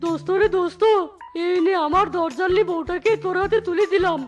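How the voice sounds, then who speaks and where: Henpecked cartoon animal husband, extremely high voice, dramatic, plaintive, music underneath. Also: female voice, a little fast, highs cut off. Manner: dramatic, lamenting. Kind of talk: cartoon story acting.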